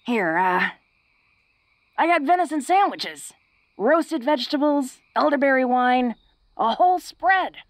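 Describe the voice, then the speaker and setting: A woman's voice: sits high, lively intonation, a little slow, pauses often, no room sound, studio quality. A woman playing a tough, flirty, secretly awkward female orc in an intimate romantic audio roleplay, speaking in a hesitant, folksy, teasing way. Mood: bashful, affectionate.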